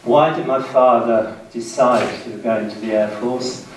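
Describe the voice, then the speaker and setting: A man in his sixties with a British accent gives a public history lecture in a measured way, pausing between short phrases. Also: medium pitch, fairly even intonation. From United Kingdom, west of London. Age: 60s. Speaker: guest lecturer. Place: indoor seminar room, speaking to an audience. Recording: very echoey room, distant mic, faint noise.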